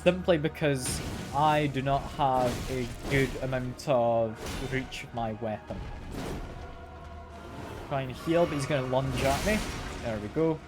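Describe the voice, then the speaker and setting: A man's voice: mid-range voice, unhurried. A man streaming a video game, slightly ill and congested, chatting casually and often repeating words as he plays. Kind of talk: casual gameplay commentary. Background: game music.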